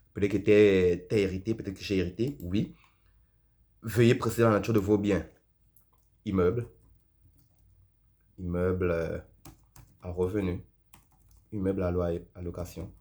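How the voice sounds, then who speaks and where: A man hosting a casual step-by-step tutorial, reading form questions aloud and thinking out loud as he speaks directly to the viewer. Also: sits low, steady tone, normal speed, long pauses. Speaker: host of a tutorial video.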